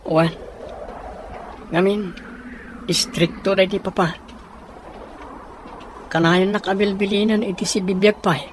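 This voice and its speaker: A man playing a sheltered, sympathetic young man from a rich family in an audio drama, hesitant and gentle, with a northern Philippine accent. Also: high voice, level tone, a little slow, pauses often, poor audio. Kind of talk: acted audio drama dialogue. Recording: faint background noise.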